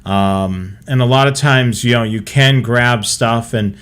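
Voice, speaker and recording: A man's voice: medium pitch, unhurried, fairly bright tone, clean tone. A man co-hosting a hobby show, chatting casually and talking listeners through examples and advice.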